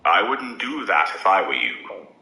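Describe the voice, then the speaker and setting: A man voicing a calm, faintly mocking male prisoner who speaks in a measured way. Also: deep voice, reverberant, a little hiss or hum, exaggerated voice, rough recording. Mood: calm warning.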